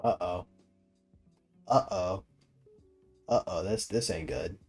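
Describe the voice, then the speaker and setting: A man's voice: low-pitched, takes it slowly, gravelly, a little mellow, slightly rough, faint music behind. A young man giving casual, joking game reaction commentary, teasing and slangy. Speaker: gaming video host.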